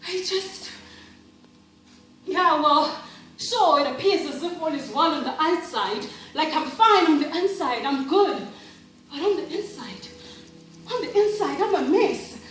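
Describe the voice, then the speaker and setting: A woman's voice: high voice, expressive, a little slow, fairly bright tone. A woman with a South African accent performing a dramatic monologue as a devout, troubled churchgoer, emphatic and theatrical, repeating phrases for effect. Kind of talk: dramatic spoken-word monologue. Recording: very echoey room, distant mic, music behind.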